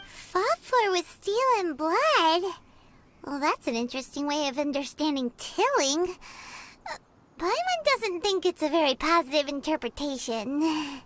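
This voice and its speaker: Tiny excitable fairy sidekick, very high, bouncy pitch, faint hiss behind. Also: female voice, a little slow, heavily acted. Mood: puzzled. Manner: refers to herself in third person, excitable. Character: tiny floating fairy companion, chatty and curious. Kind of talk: video game voice acting.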